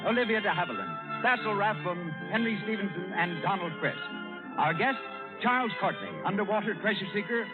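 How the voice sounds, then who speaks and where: Male 1930s radio announcer, very lively intonation, declamatory, thin vintage broadcast sound. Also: high voice, narrow, tinny audio. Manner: formal, declamatory. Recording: band-limited old 1930s radio recording.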